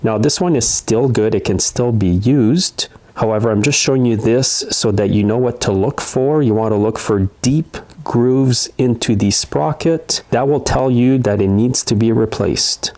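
A man's voice: medium pitch, a little slow, hardly any pauses, darkish tone. A middle-aged man narrating a hands-on repair tutorial in a plain-spoken, step-by-step way. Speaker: small engine repair host. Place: indoor repair workshop.

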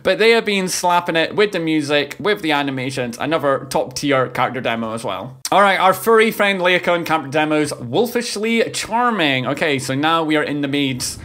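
A man in his thirties doing casual, excitable live reaction commentary as a video host, with playful exclamations. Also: fairly high pitch, a little fast, hardly any pauses. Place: small home streaming room. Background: loud game trailer music.

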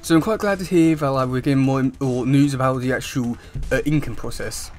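A young man chatting casually to camera as a YouTube host, with a halting, wordy delivery. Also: medium pitch, darkish tone. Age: young adult. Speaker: YouTube host reviewing comic news. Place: small room indoors at home.

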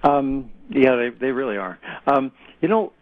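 A man's voice: medium pitch, animated, unhurried, dry recording. A middle-aged or older American man giving plain-spoken, folksy explanations as an interview guest over a phone line. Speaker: expert radio guest. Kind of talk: conversational interview answers. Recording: narrow, tinny phone line.

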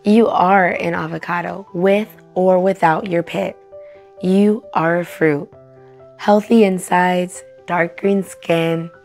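Young lady reading a storybook to kids, animated, soft music behind. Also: lowish voice, slow, creaky. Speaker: children's read-aloud host. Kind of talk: expressive storybook narration for children.

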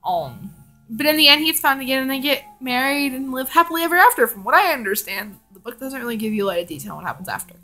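A woman's voice: high voice, very animated, fast, pushed and bright, soft background music. A young woman vlogger chatting casually and reading fan fiction in a rambling, giggly way. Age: young adult. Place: living room indoors.

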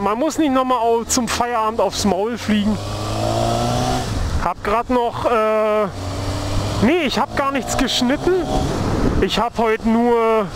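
A young man chatting casually and rambling as he rides a motorcycle through the city, speaking into a helmet mic with the visor open. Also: high-pitched. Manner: rambling, laid-back, heavy with fillers. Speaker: motovlogger. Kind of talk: casual chat while riding. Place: on a motorcycle in city traffic, outdoors. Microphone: helmet-mounted mic, open visor. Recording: wind and traffic noise.

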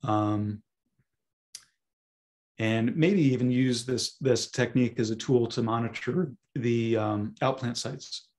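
A hoarse man who is losing his voice, giving a webinar talk over a video call with many ums and restarts. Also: medium pitch, takes it slowly, a little mellow. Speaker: guest speaker, scientist. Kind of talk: webinar presentation.

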